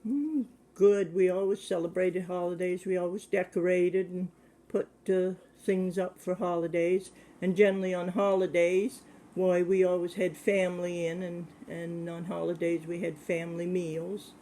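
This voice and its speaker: A man in his seventies, answering questions about his childhood in a plain, hesitant way with many pauses. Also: high-pitched, level tone, mellow, low-quality recording. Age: 70s. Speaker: interviewee. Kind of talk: casual recollection.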